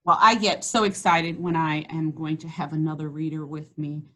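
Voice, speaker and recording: A woman hosting a children's read-aloud, encouraging and slow, stretching words as she reads. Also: sits low, steady tone. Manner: warm, encouraging, slows and stretches words when reading. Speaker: school district host reading to children. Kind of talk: read-aloud lesson and chat.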